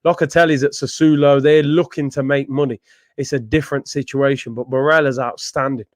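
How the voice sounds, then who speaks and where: A young man hosting a live football watchalong, chatting in slang and breaking into excited shouts at big moments. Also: medium pitch, a little fast, no room sound, clean studio sound. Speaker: host of a football watchalong stream.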